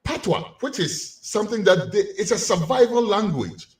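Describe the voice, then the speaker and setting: Ghanaian-accented male lecturer, lively swooping delivery, rhetorical and animated. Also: high voice, normal speed. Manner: animated, rhetorical questions to the audience. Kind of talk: community lecture.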